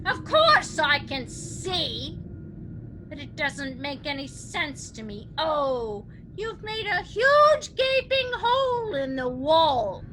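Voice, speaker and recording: An actress playing a nagging, self-pitying, fearful wife in a melodramatic 1940s radio drama style, shrill and complaining. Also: female voice, very animated, slow pace, a little hiss or hum, exaggerated voice, low-quality recording. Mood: irritated, complaining. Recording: old 1940s radio recording, loud music.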